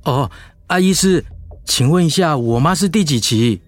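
Actor as a high-voiced anxious son. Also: male voice, animated, normal speed, no echo, close to an everyday voice. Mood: polite, anxious. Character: polite, anxious adult son of an elderly patient. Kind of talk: Mandarin radio drama acting.

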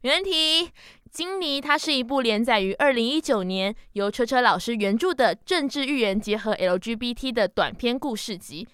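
A woman's voice: a little high, expressive, normal speed, a bit bright, clear, resonant tone, no echo, studio quality. A woman speaking as a podcast guest, giving a prepared-sounding introduction. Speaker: podcast guest, audio post-producer.

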